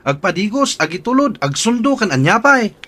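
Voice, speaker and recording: A grumbling husband complains in a fairly high male voice. His exasperated radio drama delivery jumps up and down a lot. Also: big pitch swings, normal speed, bright, forceful tone, heavily acted. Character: grumbling, put-upon husband and father.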